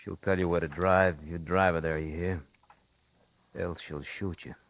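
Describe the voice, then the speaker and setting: A man's voice: low voice, level tone, normal speed. An actor playing a menacing, smug male accomplice who taunts and gives orders, in 1950s radio thriller style. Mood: cold, commanding. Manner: taunting, commanding. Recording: muffled 1950s radio recording.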